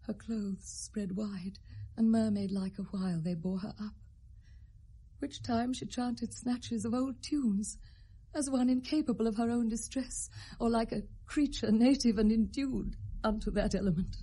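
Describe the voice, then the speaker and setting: A sorrowful, stately queen in a Shakespearean radio tragedy. Her British voice is fairly high and breathy, and she unfolds her lines slowly and lyrically with a tremulous edge. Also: steady tone, warm tone. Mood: mournful reverie. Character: sorrowful, stately middle-aged queen bearing tragic news. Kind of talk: Shakespearean radio drama acting.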